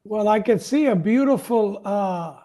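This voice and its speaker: High-pitched, mellow male interview host. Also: animated, measured pace. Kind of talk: casual interview chat.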